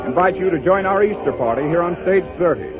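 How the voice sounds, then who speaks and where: A man's voice: high-pitched, animated, fast talker, music in the background. An American man announcing a 1930s radio show from a script. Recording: dull old 1930s radio broadcast.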